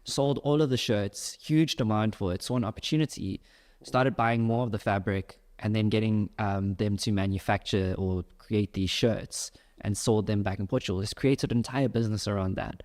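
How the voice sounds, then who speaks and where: A man's voice: on the low side, fairly even intonation, moderate pace, darkish tone. A young man from South Africa hosting a casual podcast interview, explaining his ideas at length. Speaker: podcast host.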